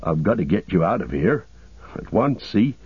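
Humble male hobbit servant, a man acting, deferential, rustic phrasing, lowish husky voice, storybook reading. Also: sing-song, normal speed, no room sound, narrow, tinny audio, full character voice. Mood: steady, caring. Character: loyal, humble, plain-spoken hobbit manservant, practical and tender.

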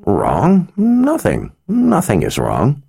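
A man voicing a gentle, grandfatherly old male wizard who speaks fondly and reassuringly in a storybook reading. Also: mid-range voice, huge pitch swings, takes it slowly, vocal fry, breathy edge, dry recording, clean studio sound, voice pushed up, heavily acted. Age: over 60.

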